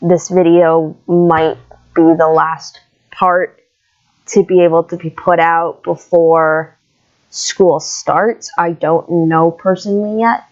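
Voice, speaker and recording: A woman's voice: low-pitched, lo-fi sound. A young woman giving a casual, informal update to her viewers in a hesitant, apologetic way. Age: young adult. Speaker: video creator and comic dubber.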